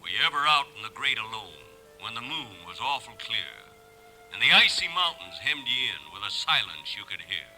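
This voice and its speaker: A man's voice: mid-range voice, sing-song, fairly quick, pushed and bright, soft background music, rough recording. A man acting as a grave, dramatic narrator who declaims frontier verse in 1950s radio style. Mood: ominous. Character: grave, dramatic narrator reciting frontier gold-rush ballads. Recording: old radio broadcast.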